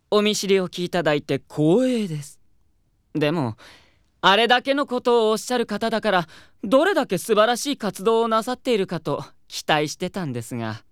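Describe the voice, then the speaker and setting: A man's voice: high-pitched, sing-song, moderate pace, a bit bright, clean tone, dry recording, studio quality. A man playing a proud, hot-headed young idol singer in an audio drama, earnest and theatrical, also narrating his own story. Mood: cutting, polite. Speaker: lead character and narrator. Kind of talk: anime audio drama acting with first-person narration.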